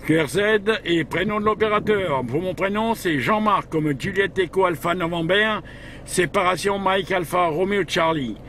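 A man's voice: high-pitched, normal speed, fairly bright tone. A man working as a radio operator from a parked vehicle, talking in procedural radio chat with frequent tag words. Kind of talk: radio contact chat with spelled-out call signs. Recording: background noise in a vehicle.

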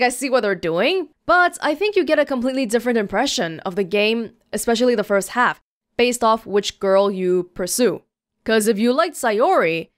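A young adult woman reading a game aloud and adding casual commentary as a let's play host. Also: a little high. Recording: game music behind the voice.

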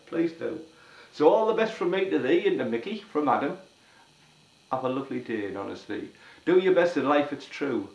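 A hoarse older man recites a rambling rhyming story in a lively sing-song voice, his pitch rising and falling a lot. He sits well back from the camera in a small living room, so the sound comes out roomy and a bit dull. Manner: sing-song rhyming delivery with a repeated tag. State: hoarse from a cold. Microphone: camera at a distance. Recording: roomy, distant, dull-sounding home video.

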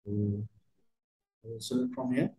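A man working through a step-by-step software tutorial as a trainer, speaking in halting, fragmented phrases. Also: medium pitch, steady tone. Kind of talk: step-by-step screen tutorial narration. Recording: roomy, echoey voice recording.

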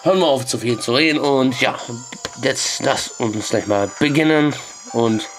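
A young man talks slowly into a headset in a small bedroom, hosting a game playthrough. His voice sounds a little strained from a cold, and he pads his sentences with hesitant fillers. Faint music plays underneath. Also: medium pitch, poor audio. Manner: hesitant, many filler "ja"s, slow and deliberate. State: has a cold, voice a bit strained. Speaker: let's play host. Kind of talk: let's play commentary, reading game text aloud.

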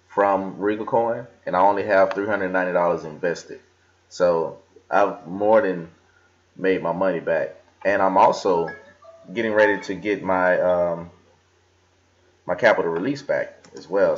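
A young man casually talking through a screen recording, with many fillers and restarts as he scrolls and reads aloud. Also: sits low. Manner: casual, filler-heavy, talks while scrolling and reading aloud. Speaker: crypto vlogger. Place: indoors at a desk. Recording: slightly dull lo-fi computer audio.

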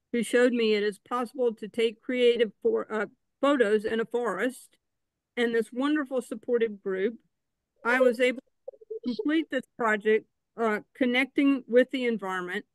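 An older man talks about his photography in a measured, earnest way. His voice is smooth and clear. He is recorded dry over a webcam on a video call. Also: very high-pitched, no echo, low-quality recording. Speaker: exhibiting photographer. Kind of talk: prepared speech introducing own work.

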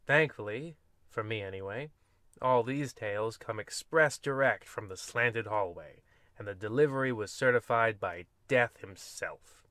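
A man's voice: lowish voice, unhurried, fairly bright tone, no echo, studio quality. A man playing a wry, rambling host of mock-ominous horror tales in an old-time radio style. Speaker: narrator.

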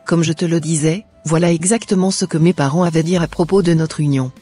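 A man plays an earnest young woman in a cartoon. The voice is raised in pitch, dark and husky, speaking in a measured way over music. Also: fairly even intonation. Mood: earnest, subdued. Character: earnest young woman, obedient yet devoted to her love. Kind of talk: scripted cartoon dialogue.

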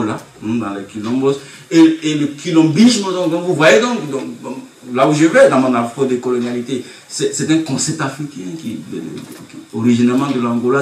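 A man's voice: mid-range voice, far from the mic. Emphatic man lecturing in echoey room. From Côte d'Ivoire. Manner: emphatic, rhetorical, repeats key phrases. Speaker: university lecturer. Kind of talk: academic conference lecture. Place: conference room with projector screen. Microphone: table mic on stand, recorded by far camera.

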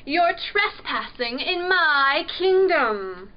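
Woman putting on a high, pompous royal prince fish. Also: lively intonation, slow pace, muffled recording, full character voice. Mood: haughty, indignant. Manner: pompous, posh exclamations and formal address. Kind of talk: children's storybook character voice.

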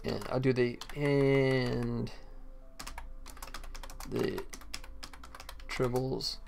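An American man in his forties chatting casually and haltingly as he livestreams game development, thinking aloud. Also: mid-range voice, flat delivery, creaky, a little mellow, slight background noise. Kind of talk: casual chat while working.

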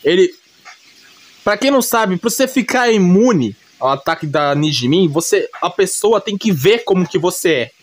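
Higher-voiced Brazilian man retelling a story casually. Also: expressive, moderate pace. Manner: colloquial, repetitive, frequent check-in tags. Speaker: video creator narrating an anime recap.

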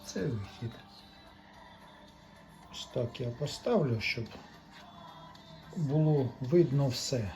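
A man's voice: mid-range voice, lively intonation, takes it slowly, long pauses, darkish tone, soft background music. A man giving an unscripted, matter-of-fact technical demonstration, talking in short fragments as he works. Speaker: hobbyist repair technician presenting a demonstration.

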